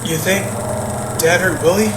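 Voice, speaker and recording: Blunt, skeptical young brother in a roomy space. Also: male voice, high-pitched, a little slow, recorded from a distance, very noisy. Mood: incredulous. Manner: incredulous, blunt, argumentative. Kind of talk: audio play acting.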